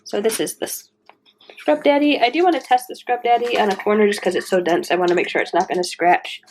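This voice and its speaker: A woman's voice: mid-range voice, quick pace, darkish tone, a little hiss or hum. Woman with a stuffy cold voice. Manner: chatty, rambling, frequent restarts. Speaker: cleaning video host. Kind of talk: casual product test vlog. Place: home kitchen.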